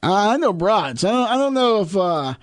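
A young man in his twenties co-hosting a radio talk show, trading sarcastic jabs and crude jokes. His voice sits high for a man, and the recording is close and dry with no room sound. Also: sing-song, normal speed, no echo. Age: 20s. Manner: wisecracking, sarcastic, swears freely. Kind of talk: casual crude banter.

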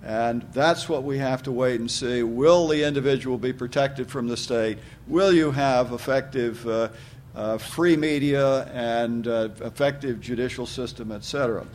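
A man closing an academic presentation on a panel, speaking in measured, halting phrases with fillers. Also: medium pitch, darkish tone, a little hiss or hum. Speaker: panelist and moderator.

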